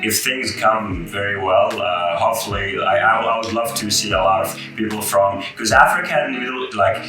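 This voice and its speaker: A young man from Iraq, a native Arabic speaker, chatting as a guest in a relaxed way with many fillers and restarts. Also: fairly low pitch, moderate pace. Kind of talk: casual interview chat. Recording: roomy echo, music behind. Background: guitar music.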